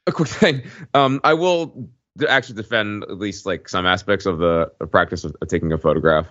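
A man with a low voice chats as a podcast co-host. His delivery is informal and a bit halting. The recording is dry and close, with slightly dulled highs. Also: fairly bright tone, no echo, muffled recording. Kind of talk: casual conversational podcast talk.

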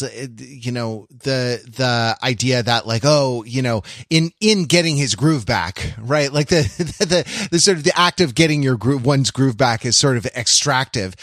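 A man's voice: mid-range voice, a bit bright, dry recording. Man musing academically, dry close sound. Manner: halting, academic phrasing with frequent check-in tags. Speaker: podcast co-host. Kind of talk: casual analytical pop-culture discussion.

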